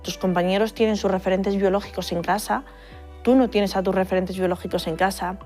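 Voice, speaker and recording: A woman adopted from India explaining in a measured way during a documentary interview. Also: medium pitch, fairly even intonation, darkish tone, faint music behind.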